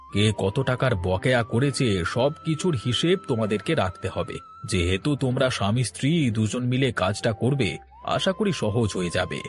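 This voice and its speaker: A man's voice: mid-range voice, lightly acted. A man voicing a kind, honest shopkeeper and father in a moral-story cartoon, speaking in a welcoming, earnest way.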